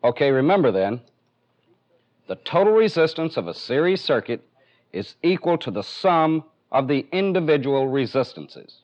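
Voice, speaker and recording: A man's voice: medium pitch, big pitch swings, moderate pace, darkish tone. A man with an American accent giving a methodical, step-by-step technical demonstration as an instructor in a training film. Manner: methodical, plainly explanatory. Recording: dull, band-limited 1970s film soundtrack.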